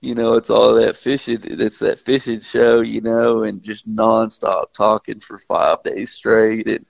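Gravelly stuffed-up guy calling in, dull dry phone line, tells stories all animated. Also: lowish voice, measured pace, no echo. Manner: animated storyteller, chuckles. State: congested from a cold. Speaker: fishing guide, guest caller. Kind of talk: casual radio chat.

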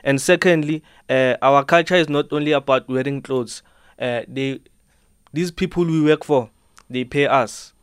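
A man's voice: medium pitch, normal speed, smooth, dry recording. An eighteen-year-old man, a dancer, answering politely and earnestly in a radio studio, often saying ma'am. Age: teens. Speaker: dancer and fashion subculture member.

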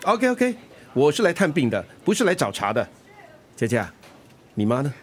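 A man's voice: fairly high pitch, huge pitch swings, moderate pace, breathy edge, full character voice. An actor playing a breezy, caring middle-aged father and musician in a radio drama, flippant, with a Singaporean accent. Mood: bantering, irritated. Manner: flippant, bantering. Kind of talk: Mandarin radio drama acting.

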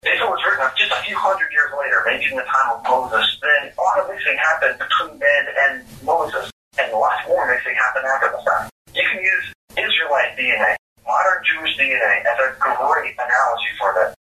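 Bright-voiced man explaining in an echoey room. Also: medium pitch, lively intonation, fairly quick, recorded from a distance. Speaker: guest scientist. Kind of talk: explanatory interview answers.

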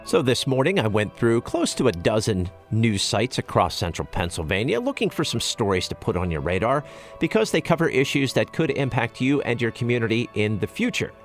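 American newsman with lively, swinging intonation. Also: medium pitch, a little fast, faint music behind. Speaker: radio news host. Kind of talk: scripted news narration.

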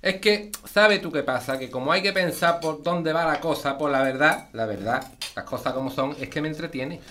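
An actress playing a sharp-tongued old Andalusian grandmother with a folksy, teasing way of talking. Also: low-pitched. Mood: grumbling. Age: older. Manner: folksy, quick-witted, teasing. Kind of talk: comic radio-style family sitcom.

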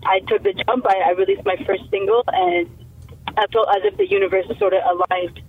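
A woman's voice: mid-range voice, steady tone, a little fast, slightly rough. A young woman from Canada, a musician, chatting casually in an interview, rambling with many fillers and restarts. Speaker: musician guest. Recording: tinny phone line.

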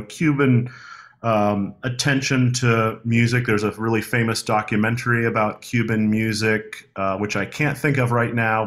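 A man hosting a podcast interview, asking questions in a relaxed, hesitant way with many fillers and restarts. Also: lowish voice. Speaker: podcast host. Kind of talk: conversational interview.

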